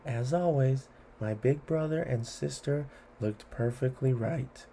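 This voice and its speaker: Slow, mellow man reading funny rhymes. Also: medium pitch, slight background noise. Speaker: read-along host.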